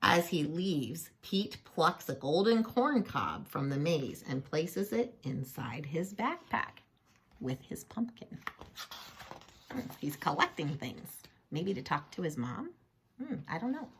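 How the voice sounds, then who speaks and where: A woman reading a children's picture book aloud, playful and expressive, with chatty asides and questions to the listener. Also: deep voice, slow pace, slight background noise.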